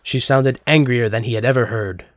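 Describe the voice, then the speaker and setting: A man's voice: mid-range voice, normal speed, no room sound. A man narrating a scripted story as the narrator of an audio drama.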